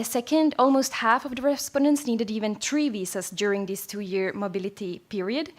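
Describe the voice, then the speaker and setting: A young woman from Finland presenting survey findings at a conference, measured and with frequent fillers. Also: medium pitch.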